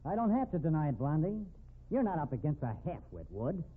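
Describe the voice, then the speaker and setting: An actor playing a defiant, scornful blackmailer who blusters through a 1950s radio drama. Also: male voice, fairly high pitch, big pitch swings, fairly quick, breathy edge. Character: defiant, sneering male blackmailer, slippery crook. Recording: narrow phone-like old radio recording.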